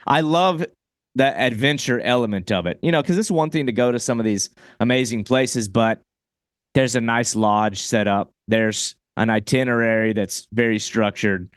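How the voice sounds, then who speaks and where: A man's voice: medium pitch, moderate pace, no echo, studio quality. A man hosting a podcast interview in an informal, rambling, think-aloud conversational way. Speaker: podcast host.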